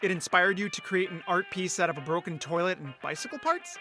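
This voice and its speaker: A man's voice: sits high, a little fast, natural voice. A man playing an earnest, playful, lovestruck ordinary young guy in an indie audio drama, with a theatrically joking manner. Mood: incredulous. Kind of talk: indie audio drama acting with first-person narration. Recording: music under the voice. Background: music.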